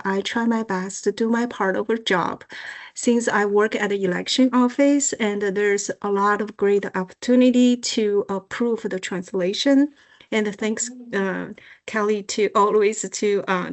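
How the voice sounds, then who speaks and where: Slow halting woman, Mandarin native. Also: medium pitch. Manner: halting, apologetic, many fillers. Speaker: election office staff. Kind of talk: self-introduction. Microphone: video call.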